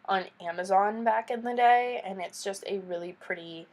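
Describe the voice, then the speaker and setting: A woman's voice: medium pitch, moderate pace, darkish tone. A young woman with a stuffy, nasal voice from a cold, chatting through a makeup tutorial voice-over as a beauty vlogger. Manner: chatty, explains each step with casual asides.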